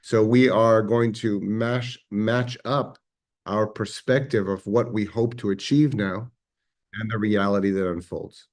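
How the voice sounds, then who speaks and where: A man with an Israeli accent giving a measured, reflective history lecture, pausing now and then with fillers. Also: fairly low pitch, fairly even intonation. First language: Hebrew.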